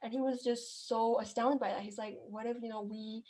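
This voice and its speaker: Young woman entrepreneur rambling in an interview, fillers everywhere, higher voice. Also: fairly even intonation, brisk, dark tone. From China, raised in Kenya. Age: young adult. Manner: chatty, many fillers and restarts. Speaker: guest entrepreneur. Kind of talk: casual interview answers.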